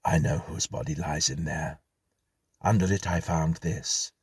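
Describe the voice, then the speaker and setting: A man voicing a composed character who speaks quietly and evenly. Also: extremely low pitch, steady tone, husky, no room sound, studio quality, exaggerated voice. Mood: calm. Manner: quiet, measured. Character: calm, composed older man.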